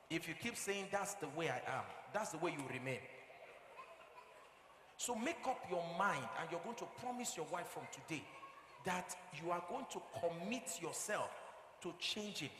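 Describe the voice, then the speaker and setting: A man's voice: sits high, very animated, moderate pace. A man with a Nigerian accent acting on stage as a firm, fatherly marriage counsellor, lecturing emphatically with repetition and rhetorical questions. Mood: firm, encouraging. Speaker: actor in a stage play. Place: theatre stage with live audience. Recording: echoey hall, noisy live stage recording.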